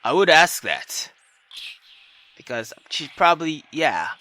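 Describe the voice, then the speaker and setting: Animated gamer woman thinking aloud. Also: low-pitched, slow pace. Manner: hesitant, thinking aloud, sweary. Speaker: gamer playing a visual novel. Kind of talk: casual reactive commentary and read-aloud narration.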